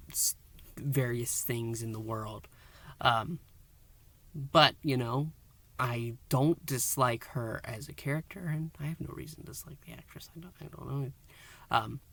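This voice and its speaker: A man's voice: medium pitch, a bit bright. A man hosting a podcast, giving a casual solo review of an audio drama and thinking aloud with frequent restarts and asides.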